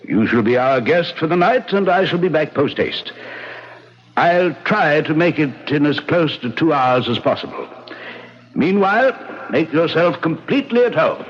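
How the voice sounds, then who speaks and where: An actor playing a courteous lord with a jealous, menacing streak, speaking formal period lines in old-time radio drama. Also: male voice, on the high side, lively intonation, normal speed. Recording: muffled, narrow-band old radio recording.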